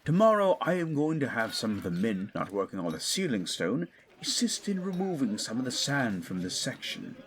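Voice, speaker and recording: A man acts out a middle-aged English archaeologist of the 1920s in an audio drama. He is formal and professorial, choosing words with deliberation. His medium-pitched voice rises and falls widely with scholarly eagerness. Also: big pitch swings, moderate pace, few pauses, close to an everyday voice. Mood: businesslike, eager. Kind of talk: scripted audio drama acting.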